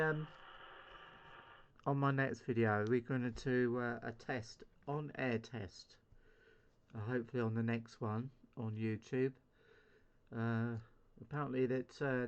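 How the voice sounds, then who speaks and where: A man's voice: medium pitch, steady tone, unhurried, clear, resonant tone, muffled recording. A British man chatting casually as a CB radio hobbyist on his video channel, rambling hesitantly in a self-deprecating way. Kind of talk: casual hobby vlog chat.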